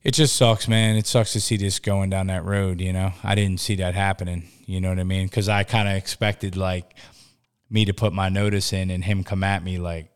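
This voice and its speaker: A tired man in his mid-forties with a very low, deep voice chats loosely about his job. He stumbles over his words, repeats himself and keeps throwing in "bro" as he talks. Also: level tone, normal speed, clean tone. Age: 40s. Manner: rambling, repeats words, says bro a lot. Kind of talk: casual chat.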